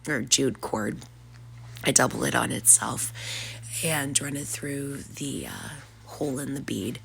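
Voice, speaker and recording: A woman hosting a relaxed craft tutorial while fighting a cold, and her sniffles come through. Her voice sits very low, with a gravelly, fried edge. She talks slowly and casually, with plenty of "uh" and "um". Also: a little hiss or hum. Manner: casual, chatty, with frequent "uh" and "um". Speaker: craft channel host. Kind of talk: DIY craft tutorial narration.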